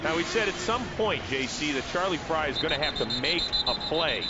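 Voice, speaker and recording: Excitable play-by-play announcer, muffled broadcast. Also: male voice, fairly high pitch, brisk. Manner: excitable, rises sharply on big plays. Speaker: play-by-play commentator. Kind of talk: sports play-by-play commentary. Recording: muffled broadcast audio with loud noise.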